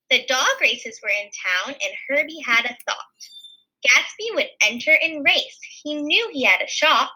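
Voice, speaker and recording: Bright, animated woman reading a storybook. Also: high voice, low-quality recording. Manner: expressive, explaining pictures simply. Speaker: teacher reading a picture book aloud. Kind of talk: animated children's read-aloud with asides.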